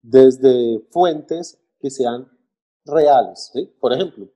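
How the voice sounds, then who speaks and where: A man, co-hosting a casual talk about language teaching, halting with restarts and fillers but getting lively when he argues. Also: mid-range voice. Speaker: co-host discussing language teaching. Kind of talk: casual podcast chat.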